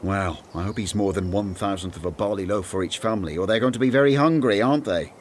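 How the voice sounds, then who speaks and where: An actor playing a doubtful, weary disciple who was once a tax collector, speaking in an earnest, plaintive manner in a Bible radio drama. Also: male voice, on the low side, quick pace, a little hiss or hum, lightly acted.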